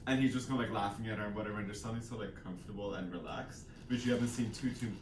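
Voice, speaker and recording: A man's voice: fairly low pitch, fairly even intonation, racing. A man chatting casually about books in an informal, agreeable, loosely rambling way. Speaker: co-host of a book discussion channel. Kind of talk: casual chatty book discussion. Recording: echoey room, distant mic, clear background noise.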